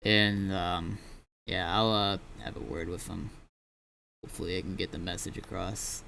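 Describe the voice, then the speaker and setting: A man with a fairly low but sharp, bright voice talks through an online roleplay game. He rambles informally and haltingly, like a streamer negotiating in character. There is light noise behind him. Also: creaky, rough recording. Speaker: streamer playing a gang leader in online roleplay. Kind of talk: casual in-game roleplay chat.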